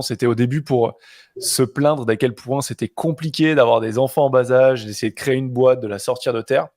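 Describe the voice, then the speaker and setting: A man's voice: mid-range voice, no echo, studio quality. A man co-hosting a podcast in an informal, familiar way, often thinking aloud as he talks. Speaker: podcast co-host and interviewer. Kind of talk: casual conversational interview.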